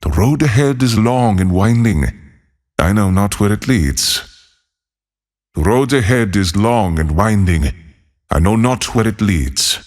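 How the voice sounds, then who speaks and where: An actor playing a solitary, haunted former monk turned lawless desert wanderer in a video game, speaking in a solemn, measured, husky voice. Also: male voice, on the low side, expressive. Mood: brooding, solemn. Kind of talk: scripted game character voicelines.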